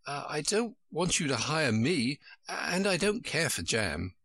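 A man voicing Alice, a polite and timid young girl, in a gently raised voice. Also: a little high, sing-song, a little slow, dry recording, clean studio sound, voice pushed up, heavily acted.

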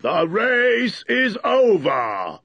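A man's voice: medium pitch, wildly swooping pitch, vocal fry, fairly bright tone, breathy edge, no room sound, pitched above the performer's own voice, heavily acted. A man voicing a solemn, self-important dodo who makes ponderous, pompous pronouncements. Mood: declaiming. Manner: solemn, pompous, drawn-out speech-making.

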